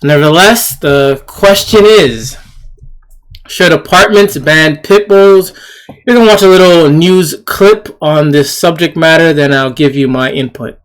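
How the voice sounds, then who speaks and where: A man with a fairly high voice hosts an opinion commentary. He talks slowly and haltingly, circling back to repeat his points with emphasis. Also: clear, resonant tone. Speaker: channel host. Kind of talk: opinion commentary over a news clip.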